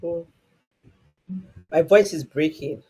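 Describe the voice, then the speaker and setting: A man with a husky voice, teaching a live online class in a rambling way, full of restarts and fillers. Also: on the high side, takes it slowly, dark tone. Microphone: online call.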